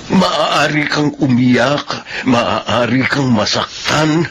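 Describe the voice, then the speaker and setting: A man's voice: mid-range voice, drawn-out words, fairly bright tone, slight background noise. An actor playing an earnest, fatherly male boss with a Filipino accent in a radio drama, speaking in a solemn, emphatic, counseling way. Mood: earnest, consoling. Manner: solemn, emphatic, counseling delivery with English phrases mixed in. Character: earnest, fatherly male company boss comforting a widowed employee.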